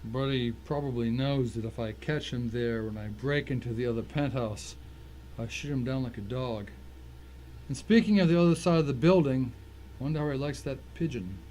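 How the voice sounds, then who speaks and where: A man's voice: mid-range voice, normal speed, warm tone, a little hiss or hum, lightly acted, poor audio. A man playing the grim first-person narrator of a crime thriller, reading as scripted dramatic storytelling. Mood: tense, grim. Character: tense, vengeful male narrator of crime thriller.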